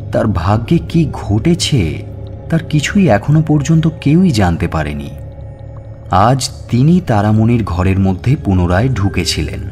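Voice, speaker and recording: Low-voiced, measured police inspector. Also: male voice, warm tone. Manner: measured, deliberate storytelling. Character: measured, authoritative police inspector recounting a case. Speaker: narrator and lead actor. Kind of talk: dramatised audio story narration. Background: music.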